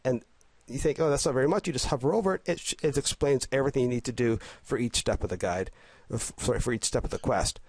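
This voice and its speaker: A man with a head cold talks through a game guide, his voice a bit stuffy and nasal. He sounds eager but keeps stumbling and restarting his sentences. His pitch sits in an ordinary middle range, and the recording is dry with no room echo. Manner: enthusiastic, halting, with many restarts and fillers. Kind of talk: casual gameplay voice-over commentary. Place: at home.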